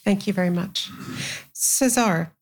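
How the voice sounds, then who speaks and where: A woman moderating a panel, thanking her guests and putting measured questions to them. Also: mid-range voice.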